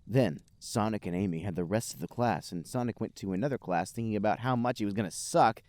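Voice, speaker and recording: A man's voice: on the low side, quick pace, darkish tone, no echo, clean studio sound. A man hosting a comedy podcast, reading fan fiction aloud with mock-dramatic storytelling and casual chat.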